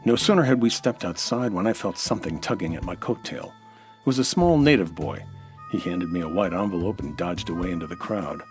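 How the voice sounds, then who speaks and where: A man with an American accent playing a world-weary, hardboiled nightclub owner narrating and talking in deadpan 1940s radio noir style. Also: deep voice, brisk, soft background music. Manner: dry, wry, deadpan.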